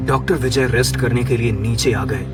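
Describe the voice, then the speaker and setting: A man's voice: mid-range voice, fairly even intonation, moderate pace. A man reading a scripted horror story as narrator, with music behind him. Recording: music under the voice. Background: background music.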